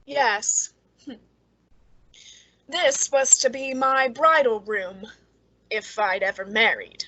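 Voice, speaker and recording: A woman's voice: fairly high pitch, slow, sharp tone, no room sound, full character voice, rough recording. A woman playing a lonely, frightened, unstable spinster in an old-time radio suspense drama, with a nervous, intense manner. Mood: bitter, brooding.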